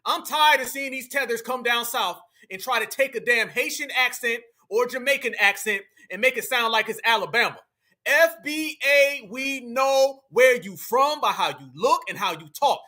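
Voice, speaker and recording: A man with a Southern American accent hosts a reaction commentary in a heated, mocking tone. His voice sits very high, with a sharp, tense brightness. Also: animated, moderate pace. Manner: heated, mocking, addresses viewers as "y'all" and "fam". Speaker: commentary host reacting to clips.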